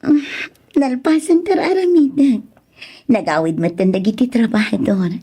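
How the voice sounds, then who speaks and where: An actress with a northern Philippine accent playing a playful, flirtatious sister-in-law in a radio drama, coaxing and lively. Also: female voice, a little high, normal speed, husky. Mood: teasing.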